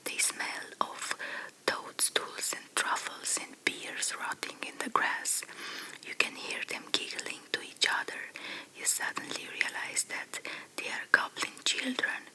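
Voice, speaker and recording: A woman whispering a second-person fairy tale slowly and softly, in the style of ASMR storytelling. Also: poor audio. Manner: soft, slow, hushed whisper.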